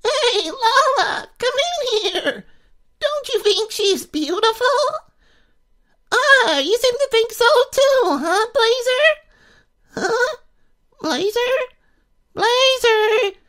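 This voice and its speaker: Squeaky, excitable little creature. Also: takes it slowly, pauses often, exaggerated voice. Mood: chirpy. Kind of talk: amateur game fandub bloopers.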